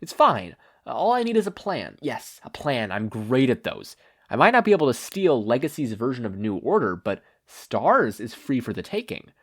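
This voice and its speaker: Man acting supervillain mastermind, panicky denial, stammering, self-reassuring, very animated pitch, storybook reading. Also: moderate pace, breathy edge. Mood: nervous scheming.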